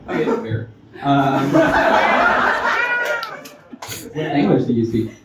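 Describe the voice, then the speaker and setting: A man with a Mexican accent, a native Spanish speaker, performing amateur stand-up with audience interaction and a halting, self-interrupting delivery. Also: fairly high pitch, wildly swooping pitch. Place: live stage in a roomy venue. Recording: echoey noisy room, distant muffled pickup.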